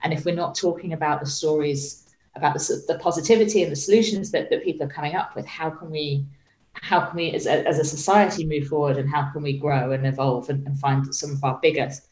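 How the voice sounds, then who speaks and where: A woman's voice: low voice, steady tone, a little fast, darkish tone. A woman in her late thirties with an English accent, answering conversationally as an interview guest. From Suffolk, England. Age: late 30s. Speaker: interview guest, magazine editor. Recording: roomy, echoey sound.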